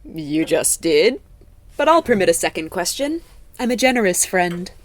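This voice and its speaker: A woman playing a gentle, hesitant, wryly playful friend in a naturalistic fiction podcast. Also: lowish voice, sing-song, moderate pace. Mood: gentle, concerned.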